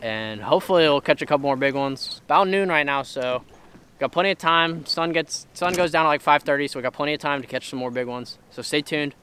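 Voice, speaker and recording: A man's voice: on the high side, fairly quick, fairly bright tone, a little hiss or hum. Young man vlogging from a fishing boat. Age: young adult. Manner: laid-back, rambling with fillers. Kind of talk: casual fishing vlog talk. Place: open bass boat on a lake, outdoors. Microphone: action camera close to face.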